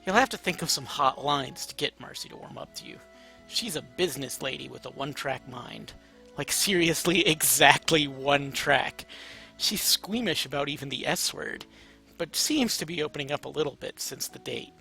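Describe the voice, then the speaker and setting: A man's voice: on the high side, normal speed, creaky, pushed and bright, faint music behind. A man narrating a story aloud in a casual, amateur, playful way. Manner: informal, playful.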